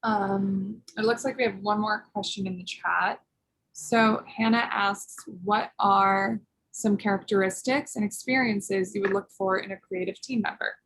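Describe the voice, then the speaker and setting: A woman's voice: medium pitch, fairly even intonation, a little slow, fairly bright tone, breathy edge, low-quality recording. A woman moderating a virtual Q&A, reading out questions and passing the floor briefly and in a friendly way over a video call. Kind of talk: hosting a webinar Q&A.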